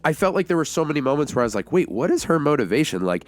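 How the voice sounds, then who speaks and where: A man's voice: a little high, big pitch swings, rapid-fire, soft background music. A man co-hosting a casual film discussion, talking in a conversational, rambling way and often acting out imagined lines. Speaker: podcast co-host reviewing a film.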